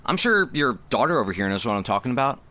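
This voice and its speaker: Man acting young stage director, eager, uncompromising, earnest, pushy, lively intonation, medium pitch, audio drama. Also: fast. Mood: persuasive. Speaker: actor.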